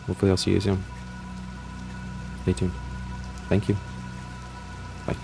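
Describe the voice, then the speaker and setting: A man's voice: low voice, completely flat delivery, measured pace, vocal fry, dark tone, soft background music. A man giving casual, unscripted commentary as a channel host, in a hesitant, rambling way.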